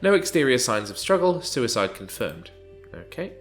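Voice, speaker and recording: A man's voice: mid-range voice, sing-song, moderate pace. Stuffy-nosed man with wry game commentary. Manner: wry, chatty. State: stuffy-nosed from hay fever. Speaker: let's play host. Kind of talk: casual gameplay commentary. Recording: game music faintly behind.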